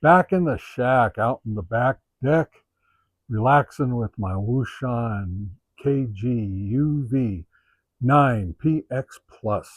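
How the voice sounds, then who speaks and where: A man giving a casual, unscripted product review as host, talking in a halting, conversational way as he thinks aloud. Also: medium pitch, animated, no room sound.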